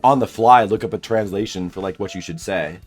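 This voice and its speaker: A man's voice: fairly low pitch, a little fast, music in the background. A man streaming a game and chatting casually, thinking aloud in a rambling way and answering chat messages.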